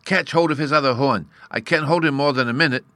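A tiny storybook fairy boy , as a male reader puts him on, lisping out childish baby talk with mangled words. He is earnest, proud and a little scornful, with a voice lifted well up and a bright, forward edge. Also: medium pitch, normal speed, dry recording, voice pushed up, lightly acted. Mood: excited, urgent. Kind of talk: storybook character reading.

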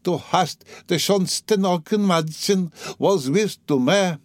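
A man's voice: sits high, takes it slowly, no echo, voice pushed up. A man voicing a dying, delirious and bitter sick mother in an audiobook, faint and gasping, with a fretful, rambling, dramatic delivery. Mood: feverish, rambling.

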